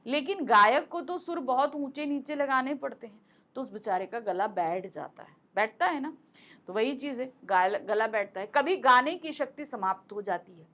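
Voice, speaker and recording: Casting note: woman teacher with a fairly high voice, chatty and explanatory, close-miked online lesson. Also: animated, moderate pace, pushed and bright. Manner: conversational, repeats points, asks rhetorical questions. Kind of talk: explaining a Hindi lesson to students. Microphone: close mic.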